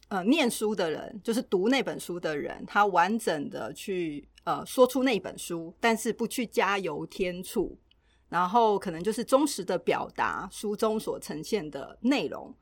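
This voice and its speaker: A woman's voice: medium pitch, lively intonation, moderate pace, no echo. A woman with a Taiwanese Mandarin accent, chatting casually as a podcast co-host. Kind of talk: casual chat.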